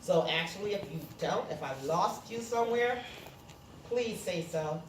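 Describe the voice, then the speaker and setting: A woman's voice: on the low side, animated, unhurried. A woman teaching a classroom lesson, explaining step by step, repeating herself and checking that students understand. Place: classroom, indoors. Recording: roomy, noisy classroom, distant mic.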